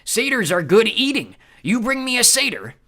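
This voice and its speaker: A brutish male cyclops drags out each broken phrase in a slow, booming bellow, as a male audiobook narrator puts him on. The delivery swings widely up and down, with a bright, forceful edge. It is a monster voice from a read-aloud storybook. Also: very animated, heavily acted. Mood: gruff brooding. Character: hulking one-eyed male cyclops, brutish.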